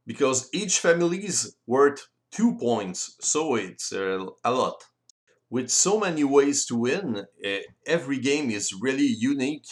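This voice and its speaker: French-accented man explaining game rules. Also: medium pitch. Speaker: board game designer and presenter. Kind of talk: explanatory tutorial voice-over.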